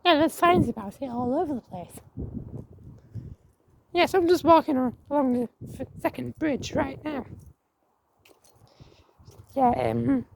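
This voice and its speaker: A woman with a croaky voice walking outdoors, chatting casually to her viewers and reading signs aloud with many restarts. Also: high voice, slight background noise, rough recording. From England. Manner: rambling, restarts words, reads signs aloud haltingly. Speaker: vlogger. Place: outdoors on a viaduct.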